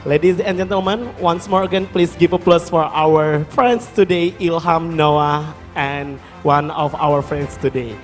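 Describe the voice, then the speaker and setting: Male mc, kinda high voice, formal announcing, hall echo, faint music. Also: fairly even intonation, measured pace, hardly any pauses. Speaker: master of ceremonies. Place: hall on a stage, live event.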